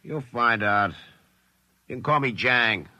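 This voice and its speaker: Gruff, hard-edged American quarry laborer. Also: male voice, medium pitch, takes it slowly, pushed and bright, no echo. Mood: sardonic, matter-of-fact. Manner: gruff, slangy, sardonic. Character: gruff, cynical male forced-labor quarry worker bossing a newcomer. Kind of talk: 1950s American radio drama acting. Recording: vintage 1950s radio recording.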